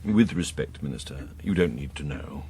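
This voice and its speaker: An actor playing a discreet, composed British intelligence officer in a radio drama, clipped, courteous and precise. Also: male voice, rumbling low, expressive, moderate pace, dark tone, slight background noise.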